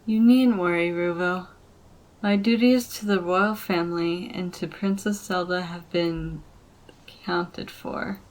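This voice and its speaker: A woman playing a dutiful, grave warrior guide in a fantasy ASMR roleplay, speaking formally and earnestly in measured phrases. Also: lowish voice, fairly even intonation, lightly acted, poor audio.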